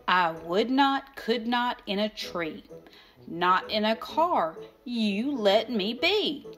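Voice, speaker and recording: A woman voicing a stubborn, exasperated storybook grouch with emphatic, drawn-out protests. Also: a little high, expressive, a bit bright, faint music behind. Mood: grumpy refusal. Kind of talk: expressive children's picture book read-aloud.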